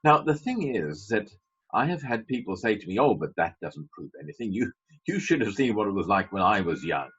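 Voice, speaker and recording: A man's voice: fairly low pitch, very animated, a little fast, a bit bright, husky. A man preaching a sermon as a pastor, emphatic, with wry asides. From Britain. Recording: dull lo-fi sermon recording.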